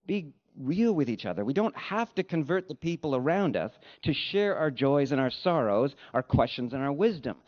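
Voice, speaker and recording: A man delivering a sermon as a pastor, speaking in an earnest, conversational way with deliberate phrasing. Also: on the high side, sing-song. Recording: dull, lo-fi sound.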